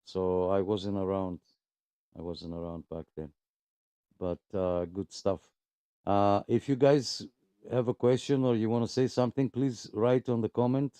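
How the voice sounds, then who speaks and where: A man casually hosting a radio club livestream, with a hesitant, pausing delivery. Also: lowish voice, fairly even intonation, dark tone, smooth, no room sound. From abroad, moved to Canada in 2001. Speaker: host of a radio club live net.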